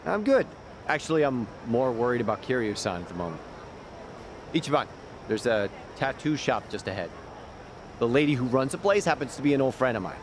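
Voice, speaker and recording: An actor playing a streetwise, easygoing ex-gangster guide in a video game, explaining things in casual slang. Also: male voice, medium pitch, big pitch swings, fairly quick, a little hiss or hum, close to an everyday voice. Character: streetwise, easygoing male gang deserter and local guide in a game.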